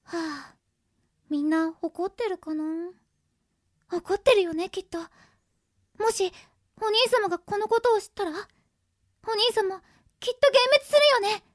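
A woman playing an earnest, easily flustered young noble girl in an anime-style radio drama, with a girlish, expressive delivery. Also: very high voice, a little slow, long pauses, no room sound, clean studio sound. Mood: panicked. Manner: girlish, expressive, anxious stammering.

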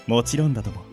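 A man's voice: medium pitch, darkish tone. A man playing a pompous, self-adoring legendary love evangelist, grandiose and theatrical, in a comic radio drama.

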